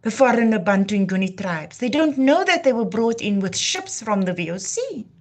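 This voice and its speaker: A woman's voice: mid-range voice, very animated, moderate pace. A woman from Namibia, hosting a live talk in a passionate, declamatory way. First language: Afrikaans. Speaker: livestream host. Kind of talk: impassioned heritage talk.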